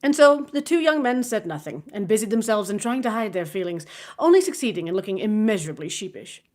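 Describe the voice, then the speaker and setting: A woman reading a classic novel aloud in an expressive storybook narration style. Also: mid-range voice, quick pace. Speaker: audiobook reader.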